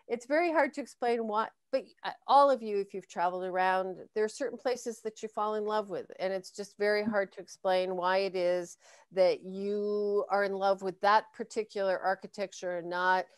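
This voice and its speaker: A woman's voice: mid-range voice, steady tone, moderate pace, smooth, dry recording, studio quality. An American woman in her sixties answering questions on a video call, thoughtful and hesitant, with many fillers and restarts. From United States. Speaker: guest speaker.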